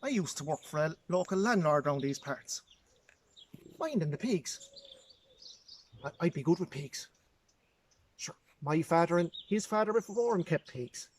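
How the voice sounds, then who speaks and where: A man with an Irish accent acting a poor, grieving nineteenth-century farmer, telling his story in plain, halting rustic speech. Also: on the high side, a little mellow, slight background noise. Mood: plain, proud. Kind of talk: dramatic historical monologue.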